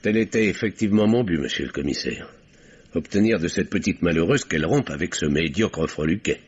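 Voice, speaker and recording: An actor playing a pompous, self-righteous middle-aged French uncle in a 1950s-style radio drama, speaking formally and defensively. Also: male voice, sits low, lively intonation, a little fast, breathy edge, faint music behind. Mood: indignant, haughty. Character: pompous, indignant, self-righteous older uncle under questioning. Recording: dull vintage radio recording.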